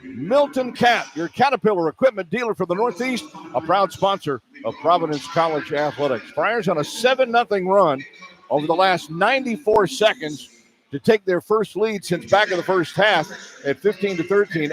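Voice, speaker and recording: A man's voice: high voice, rough recording. A man working as a radio play-by-play announcer, calling a live basketball game from an indoor arena. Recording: clear arena ambience noise. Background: arena ambience.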